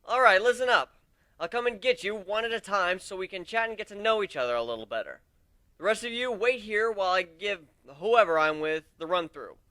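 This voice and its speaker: A man playing a commanding griffin combat instructor from a fantasy story. The voice is bright and forceful, swinging widely in pitch, with a sardonic edge and a dry, close sound. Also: lively intonation, a little fast, dry recording. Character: brisk, stern male griffin warrior and combat instructor. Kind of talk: first-person dramatic story reading.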